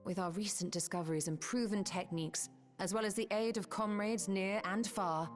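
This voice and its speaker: An actress voicing a wise, composed sorceress in a video game, speaking in a measured, formal and assured way. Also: female voice, medium pitch, faint music behind, close to an everyday voice.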